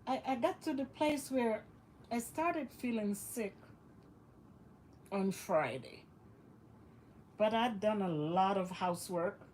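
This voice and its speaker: A woman's voice: medium pitch, slow pace, pauses often, a little mellow, a little hiss or hum, rough recording. A West Indian woman talking casually to her vlog viewers, sounding congested and nasal from illness and repeating phrases for emphasis. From West Indies. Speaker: vlogger. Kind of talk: casual monologue to camera.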